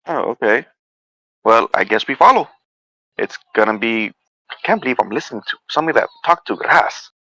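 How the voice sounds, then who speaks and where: A man's voice: mid-range voice, animated, brisk, pauses often, sharp tone, poor audio. A man taking part in a tabletop role-playing game as a player, improvising casually in character.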